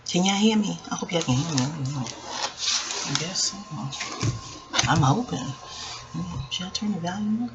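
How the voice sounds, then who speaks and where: Female vlogger, forties, hushed and gravelly, hesitant reflective chat, muffled recording with faint noise. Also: on the low side, animated, measured pace, pauses often. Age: 40s. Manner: hushed, keeping voice down so as not to wake others; hesitant, digressive. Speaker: video channel host. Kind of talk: rambling reflective casual chat to viewers. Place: at home, quiet dark room. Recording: dull lo-fi audio with faint background noise.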